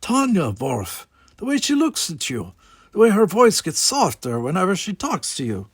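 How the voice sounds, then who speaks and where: A man's voice: sits high, huge pitch swings, moderate pace, slightly rough, dry recording, clean studio sound, voice pushed up, full character voice. A man voicing an earnest young male cadet, an exasperated brother who talks informally and urgently. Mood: insistent, urging.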